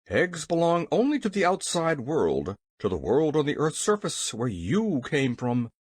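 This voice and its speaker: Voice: medium pitch, very animated, measured pace, breathy edge, no room sound, studio quality. A narrator voicing a pompous, tyrannical little gnome king in a storybook, roaring and exclaiming. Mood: nervous. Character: blustering, excitable little male gnome king, tyrannical villain.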